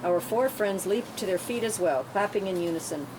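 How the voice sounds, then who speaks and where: A woman's voice: animated, brisk. A woman reading scripted stage directions as narrator at a table read. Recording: noisy room, rumbling ambience.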